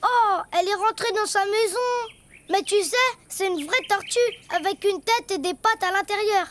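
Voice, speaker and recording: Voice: very high voice, moderate pace, a bit bright, a little hiss or hum. An actor voicing a cheerful, imaginative little boy donkey in a preschool cartoon, childlike and full of wondering exclamations. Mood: curious, excited. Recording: music behind the voice.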